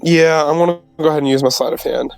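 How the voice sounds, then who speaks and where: A man's voice: fairly high pitch, fairly even intonation, quick pace, vocal fry, a little mellow. A man playing in a tabletop roleplaying game, chatting casually and questioning the rules as he haggles.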